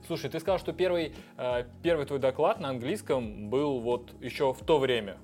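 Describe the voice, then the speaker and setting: A man's voice: medium pitch, moderate pace, darkish tone, soft background music. A man hosting a casual interview podcast, prompting his guest with friendly questions. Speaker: podcast host.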